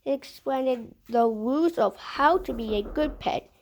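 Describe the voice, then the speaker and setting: A woman reading a children's picture book aloud in a deliberate way, pausing between short phrases. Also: high voice, darkish tone, a little hiss or hum. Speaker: storybook reader.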